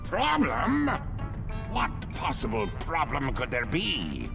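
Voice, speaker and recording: An actor playing a menacing, domineering undead pirate captain in a video game, with booming theatrical delivery. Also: male voice, huge pitch swings, takes it slowly, gravelly, over music, heavily acted. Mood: scornful.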